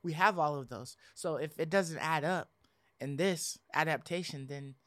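A man's voice: fairly high pitch, moderate pace, a bit bright, dry recording. A man chatting informally and with some slang as a podcast co-host. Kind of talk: casual chat.

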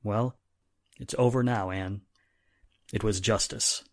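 Woman acting a very deep-voiced, grave companion. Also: fairly even intonation, slow, heavily acted. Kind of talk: 1940s radio drama acting.

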